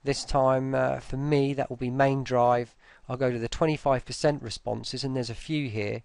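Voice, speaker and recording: Man, casual software walkthrough, gravelly voice, close dry sound, muttered asides. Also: mid-range voice, steady tone, moderate pace, no echo. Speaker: software tutorial presenter. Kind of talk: casual screen-recorded tutorial walkthrough.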